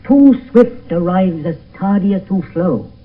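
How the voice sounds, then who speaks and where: A man's voice: sits high, lively intonation, unhurried. A man playing a character in a Shakespeare tragedy on radio, speaking verse in a declamatory, poetic way. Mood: earnest. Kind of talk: 1970s radio Shakespeare acting. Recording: muffled phone-like vintage radio broadcast, distant.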